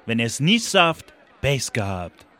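Man playing an indignant talking banana, bright and animated. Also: medium pitch, a little slow. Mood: lecturing, outraged. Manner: emphatic, lecturing, repeats words for effect. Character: indignant, worldly-wise talking banana from Colombia. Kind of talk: German political radio play.

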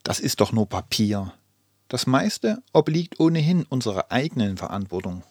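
An actor voicing a calm, thoughtful man in a radio play collage of social media posts, speaking in a measured, reflective, articulate way. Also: medium pitch, dry recording, clean studio sound.

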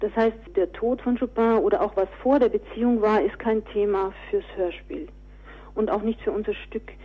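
A woman's voice: medium pitch, steady tone, moderate pace, breathy edge, phone-quality sound. A woman answering interview questions conversationally as an author and director, on a thin radio recording.

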